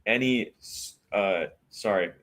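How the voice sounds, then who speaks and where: A male podcast co-host with a fairly low voice speaks casually. He stumbles and restarts as he asks his question. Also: takes it slowly, a bit bright.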